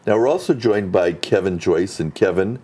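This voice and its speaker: A man's voice: deep voice, animated, normal speed, mellow, breathy edge. A man guest-hosting a local cable talk show in a TV studio, friendly, reading through sponsors with fillers. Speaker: guest host.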